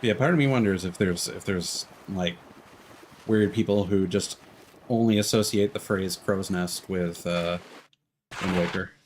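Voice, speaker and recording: Low-voiced man casually commentating a game. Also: measured pace, slight background noise. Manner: musing to himself, trailing off mid-thought. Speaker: video game streamer. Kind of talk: casual live gameplay commentary. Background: game music.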